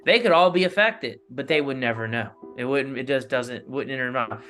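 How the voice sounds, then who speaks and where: A man's voice: mid-range voice, fairly quick, a bit bright. A man speaking as an interview guest in casual, rambling conversation, often checking in with "you know". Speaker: interview guest, analog horror creator. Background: plucked guitar music.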